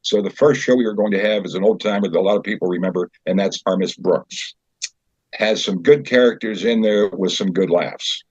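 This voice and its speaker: A man explaining a theater show as its director in an interview, in a conversational, halting way. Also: low voice, breathy edge, dry recording. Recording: dull, lo-fi sound.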